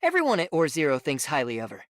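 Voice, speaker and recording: A woman's voice: low-pitched, wildly swooping pitch, fast, no room sound, clean studio sound. An actress playing an outspoken, opinionated townswoman in an anime game, talking emphatically. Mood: reassuring. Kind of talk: English anime game voice acting.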